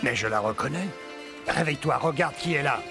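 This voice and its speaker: Man acting scheming armed crook, creaky voice, plotting manner, cartoon, music behind. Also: mid-range voice, brisk, natural voice. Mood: insistent. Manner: sly, plotting.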